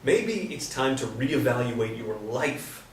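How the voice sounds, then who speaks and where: An actor playing a laid-back, observant male carpenter who gently provokes, in a staged play reading. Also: medium pitch, sing-song, slow. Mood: earnest. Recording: roomy, distant stage recording, faint noise.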